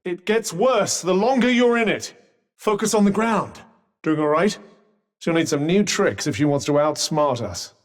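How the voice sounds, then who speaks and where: A man's voice: high-pitched, expressive, normal speed, distant mic. A man with a British accent voicing a talking magic cuff in a video game, a dry, eloquent companion who coaches through battle. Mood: urgent, instructing. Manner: sardonic, eloquent, urgent coaching.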